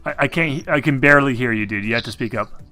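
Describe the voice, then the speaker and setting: A man with a bright, forward voice works as game master for a casual tabletop session. He narrates and gives players instructions conversationally, with music playing underneath. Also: mid-range voice, fast talker.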